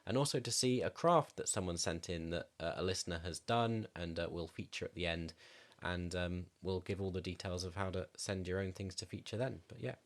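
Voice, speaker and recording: A man with a deep, low voice co-hosting a relaxed podcast. He keeps the talk upbeat and laughs often. He also runs through the show announcements. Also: brisk, hardly any pauses. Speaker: podcast co-host. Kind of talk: casual chat.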